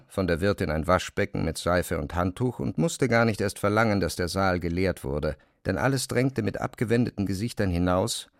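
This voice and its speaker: A man narrates literary prose in a lowish voice with a clear creak in it. The sound is close and very dry, as from a studio. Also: no echo.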